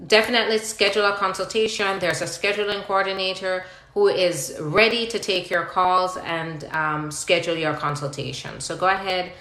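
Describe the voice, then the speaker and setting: Bright-voiced woman advising, echoey room. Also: lowish voice, steady tone, moderate pace, hardly any pauses. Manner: reads out viewer questions, then explains step by step. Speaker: immigration attorney hosting a live Q&A. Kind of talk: live question-and-answer advice, conversational. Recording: roomy, echoing live-stream sound.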